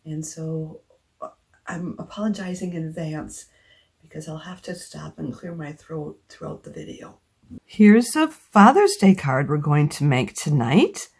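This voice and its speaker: Woman craft demonstrator, somewhat low voice with a slight scratchiness from a cold; patient, walks through each step. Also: dark tone.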